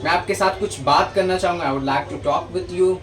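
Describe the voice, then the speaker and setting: A man's voice: high voice, rapid-fire, fairly bright tone. A man with a Hindi mother tongue and an Indian English accent, teaching English grammar in a mix of Hindi and English, often stammering and restarting his sentences. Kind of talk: bilingual grammar lesson. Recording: distant mic with noticeable background noise.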